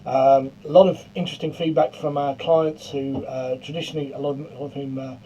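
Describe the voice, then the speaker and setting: Male tutorial presenter, middle-aged, very mellow and slightly high-pitched, stumbles and repeats words, muffled lo-fi sound. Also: flat delivery, a little hiss or hum. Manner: halting, often stammers and repeats words. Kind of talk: informal product demonstration.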